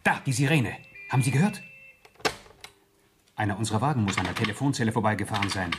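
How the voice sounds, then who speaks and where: Ein Schauspieler als flinker, sachlicher Polizist. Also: male voice, lowish voice, expressive, quick pace, noisy background, close to an everyday voice. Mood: brisk, factual. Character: matter-of-fact subordinate policeman reporting to superiors. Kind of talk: 1970s German radio crime drama acting.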